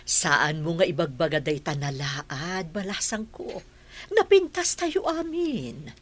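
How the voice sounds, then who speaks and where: A woman's voice: low-pitched, expressive, takes it slowly, heavily acted. A woman acting a reassuring plastic surgeon in an Ilocano radio comedy drama. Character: reassuring female plastic surgeon, a family friend.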